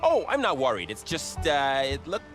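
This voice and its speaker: An earnest, self-doubting youngster from a cartoonish video game. The hesitant delivery jumps widely in pitch. Music is clearly audible behind. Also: wildly swooping pitch, moderate pace. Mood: anxious. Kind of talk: animated video game cutscene acting.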